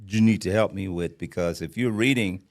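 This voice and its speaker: A man's voice: deep voice, fairly quick, a little mellow. A man hosting a podcast, asking probing follow-up questions of his guest. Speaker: podcast host. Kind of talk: interview questions.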